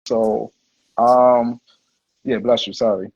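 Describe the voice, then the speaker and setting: Host, male, husky and a bit high-pitched, relaxed slangy storyteller, dull lo-fi audio. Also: takes it slowly. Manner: laid-back, slangy, heavy on "like" and "you know". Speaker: podcast host. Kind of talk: casual livestream interview storytelling.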